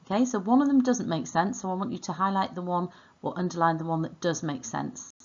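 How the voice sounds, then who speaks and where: Low-voiced Welsh woman teaching. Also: brisk, highs cut off. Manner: instructional, enunciating words and repeating them. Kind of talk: scripted online language lesson.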